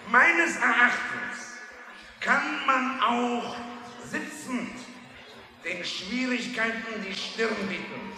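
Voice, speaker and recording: An actor playing a pompous speechmaker in a radio play, giving uplifting advice in halting, declamatory oratory in an echoing hall. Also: male voice, very high voice, heavily acted. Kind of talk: public address in a radio play. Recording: echoey hall with long reverb.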